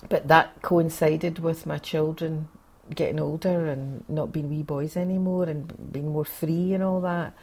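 A woman in her fifties speaks candidly in an interview. Her voice is low and husky, with a dark, mellow tone. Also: fairly even intonation, unhurried, few pauses. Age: 50s. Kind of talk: candid personal reflection in an interview.

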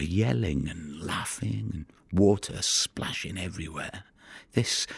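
A man's voice: sits low, dry recording, exaggerated voice. An actor playing a weary, tender-hearted working fisherman who tells his story in an intimate monologue for an audio play. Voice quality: gravelly, husky.

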